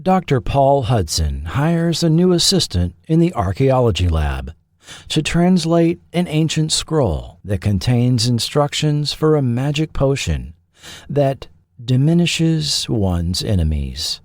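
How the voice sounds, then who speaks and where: A man narrates a scripted documentary with deliberate care. His pitch moves expressively while the pace stays slow, and the recording is close and dry. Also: big pitch swings, a little mellow, no echo. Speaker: podcast host and narrator.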